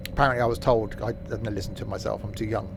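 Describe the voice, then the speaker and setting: A man's voice: fairly low pitch, fairly even intonation, fast talker, vocal fry, background noise. A man, chatting casually and rambling with fillers while live-streaming a game.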